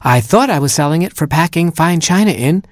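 A man's voice: a little high, lively intonation, brisk, dry recording, clean studio sound, voice pushed up, lightly acted. A man voicing a male seal who sells goods from a bobsled, calling out his wares in a sing-song. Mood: breezy. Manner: sing-song street-seller calls. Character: huckstering male seal peddler, cheery salesman.

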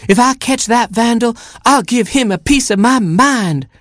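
A storyteller voicing a bossy, quick-tempered mother hen who clucks and scolds. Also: lowish voice, very animated, dry recording, studio quality, voice pushed up. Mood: scolding.

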